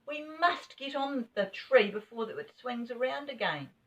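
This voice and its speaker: Excitable young storybook child, acted by a woman: bright, animated, exclaiming. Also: on the high side, a little slow, voice pushed up. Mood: worried. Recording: dull, distant home recording.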